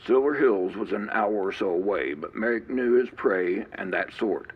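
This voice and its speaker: Low-voiced man narrating an audio drama. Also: animated, normal speed, breathy edge, narrow, tinny audio. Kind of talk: scripted narration.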